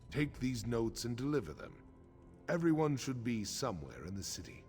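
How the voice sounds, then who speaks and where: An actor playing a grave, honorable middle-aged orc warrior chief in a video game, speaking in a solemn, measured, frank way. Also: male voice, mid-range voice, big pitch swings, a little mellow, close to an everyday voice. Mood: solemn, earnest. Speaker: quest-giving game character. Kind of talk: scripted video game dialogue. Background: game music.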